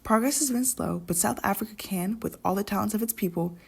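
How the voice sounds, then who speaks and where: A woman's voice: mid-range voice, a little fast, gravelly. A young adult woman reading a scripted educational narration as the narrator, recorded in an echoey room.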